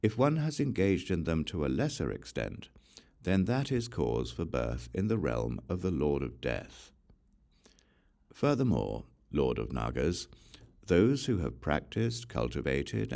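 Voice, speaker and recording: Male audiobook reader, very deep voice, lively intonation, solemn measured delivery. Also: creaky. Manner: slow, solemn and deliberate. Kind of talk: measured scripture reading.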